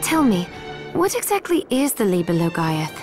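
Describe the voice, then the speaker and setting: Woman as a determined young game heroine, mid pitch, earnest n composed, music behind. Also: very animated, normal speed, close to an everyday voice. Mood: inquisitive. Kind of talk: video game character acting.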